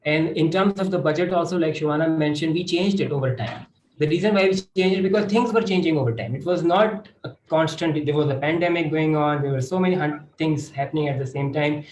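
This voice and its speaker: A man's voice: on the high side, a little fast, darkish tone. Guy on a panel, echoey room, bit of noise, punchy little phrases. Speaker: panelist, grant recipient. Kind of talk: panel discussion, reflective explanation.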